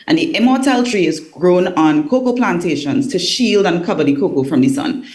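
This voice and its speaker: A woman's voice: lowish voice, animated, fairly quick, a little mellow. A woman from Trinidad and Tobago, a business owner, answering interview questions over a video call in an articulate way and laughing easily. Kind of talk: remote interview.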